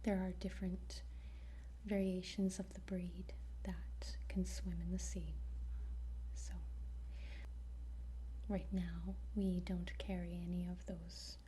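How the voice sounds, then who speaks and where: A woman whispering an ASMR roleplay as a dragon trainer, gentle and hesitant with frequent pauses. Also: on the low side, flat delivery, slight background noise. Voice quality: breathy whisper.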